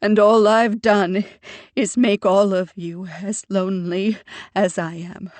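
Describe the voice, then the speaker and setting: A woman's voice: mid-range voice, steady tone, takes it slowly, a little mellow, no echo, natural voice. A woman reading the part of a proud, composed older Jamaican mother who speaks formally and lectures her daughter. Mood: earnest. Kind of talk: audiobook character voice.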